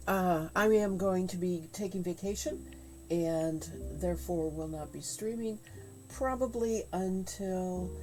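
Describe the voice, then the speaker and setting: A woman with a head cold chatting casually on a livestream while she spins yarn at home, rambling with many fillers and halting pauses. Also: fairly low pitch, low-quality recording. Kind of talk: casual chat while spinning. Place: home craft room. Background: faint quiet music.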